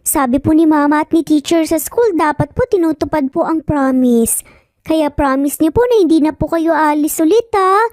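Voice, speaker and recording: Woman voicing a high, pleading little child. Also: normal speed, a little mellow, no echo, close to an everyday voice. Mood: pleading, sad. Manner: polite, pleading. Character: sweet, clingy little child pleading with father.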